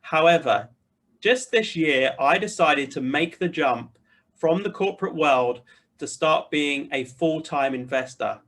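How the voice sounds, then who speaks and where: Higher-pitched British man, earnest address. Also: a bit bright. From United Kingdom. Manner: earnest, direct address to listeners. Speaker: investor and video host. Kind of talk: personal introduction to camera, semi-scripted.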